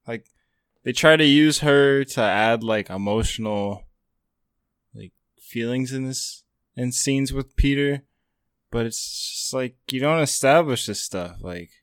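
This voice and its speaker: A man's voice: medium pitch, slow, clear, resonant tone, no echo, studio quality. A man co-hosting a casual discussion about films in everyday, conversational language. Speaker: film podcast co-host.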